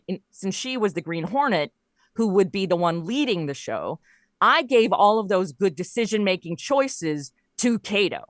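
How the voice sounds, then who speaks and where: A woman's voice: on the low side, no echo, studio quality. A woman chatting casually as an interview guest, explaining her creative work in a talkative storytelling way. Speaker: interview guest, audio drama writer and producer.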